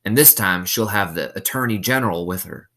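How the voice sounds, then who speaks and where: A man's voice: low-pitched, a little fast, sharp tone, exaggerated voice. Man voicing a worried, wary camp supervisor. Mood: worried warning.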